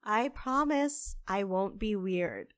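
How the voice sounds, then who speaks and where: A woman's voice: medium pitch, lively intonation, slow, fairly bright tone, no room sound, studio quality. A woman playing an earnest, hopeful young witch who runs a cafe, in a conversational audio drama style. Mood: upbeat.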